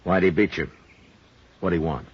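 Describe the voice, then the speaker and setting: A commanding frontier marshal in a radio western, with an extremely deep voice. He is terse, dry and steady, captured on a muffled old recording. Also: moderate pace, slightly rough. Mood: terse, grim. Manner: terse, authoritative. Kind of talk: 1950s radio western acting and narration.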